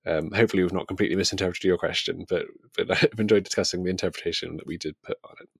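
A British man with a low voice co-hosts a casual book podcast. He is chatty and self-deprecating, recorded close and dry. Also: fairly even intonation, racing, gravelly, husky, dry recording. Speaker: podcast co-host.

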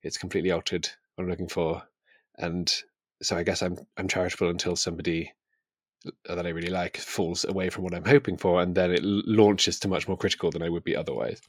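Male book podcaster, deep voice, thoughtful conversational delivery, dry close sound. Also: flat delivery, fast talker, slightly rough, no echo. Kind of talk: casual book discussion.

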